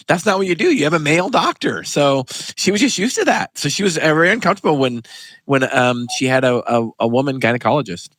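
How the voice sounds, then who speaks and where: A man who hosts a health podcast and works as a pharmacist, chatting in an animated, emphatic way as he tells stories. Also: on the high side, fairly quick, dry recording. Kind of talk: casual interview chat.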